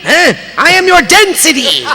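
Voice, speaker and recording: A very high, piercing young man, awkward and overexcited, halting through a clumsy declaration of love acted by a man. The pitch swoops wildly in this live stage comedy. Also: gravelly, bright, forceful tone, long reverb tail, a little hiss or hum, exaggerated voice. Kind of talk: live comic script reading.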